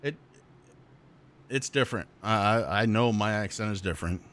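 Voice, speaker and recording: Vermont-accented man calling a sim race. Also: moderate pace. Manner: excited pitch jumps when calling wrecks. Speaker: play-by-play commentator. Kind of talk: sim racing commentary.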